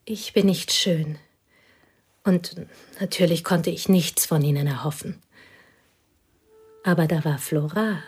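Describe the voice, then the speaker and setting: An actress playing a plain, devoted woman who confesses intimately to a pianist in a radio play, her voice husky and often whispered. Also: fairly low pitch. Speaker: confiding companion.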